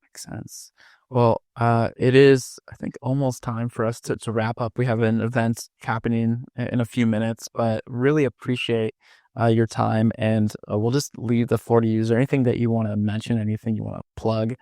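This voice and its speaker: A man hosting a podcast in a casual interview style, speaking in a halting, informal way. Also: mid-range voice, level tone, mellow, no room sound, clean studio sound. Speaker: podcast host.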